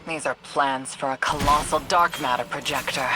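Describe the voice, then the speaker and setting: An actor playing a steady, wary mission handler who briefs a soldier in a dry, serious way in a video game. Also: male voice, mid-range voice, moderate pace, background noise, close to an everyday voice. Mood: wary, analytical.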